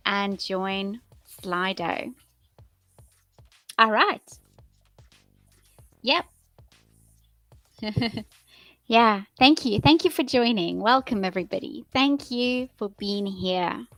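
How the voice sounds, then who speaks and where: Woman, teacher, live-stream host, very slow deliberate speech, dry close sound, faint music behind. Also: mid-range voice, fairly bright tone, no room sound. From England. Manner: slow, friendly, repeats phrases to learners. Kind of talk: live-stream chat with viewers.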